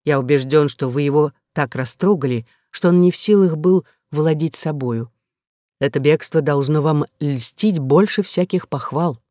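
A woman voicing a sly, flattering male Jesuit abbot in an audiobook novel, measured, ingratiating and unruffled. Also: low-pitched, no echo, clean studio sound, close to an everyday voice.

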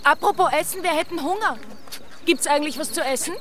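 An actress in a radio drama playing a brisk, enterprising young woman on holiday. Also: high-pitched, fairly bright tone, a little hiss or hum. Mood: brisk, curious.

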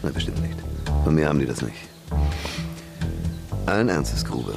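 An actor playing a slick, self-assured red-light boss in a German radio drama, jovial and expansive with menace underneath. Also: male voice, rumbling low, big pitch swings, normal speed, vocal fry, over music, exaggerated voice. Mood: hard, threatening.

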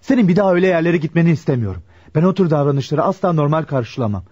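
A brooding, principled young man in a radio play. The tone is dark and husky, with quick, earnest delivery. The recording is muffled. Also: on the high side, animated. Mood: indignant. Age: young adult. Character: troubled, proud, morally strict young shoe repairman. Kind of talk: Turkish radio theatre acting. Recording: dull lo-fi radio drama recording.

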